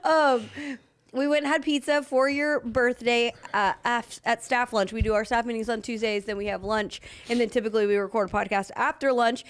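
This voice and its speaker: A woman in her early forties co-hosting a casual podcast chat, upbeat and quick to laugh. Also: on the high side, steady tone, clean tone. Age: 40s. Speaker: podcast co-host.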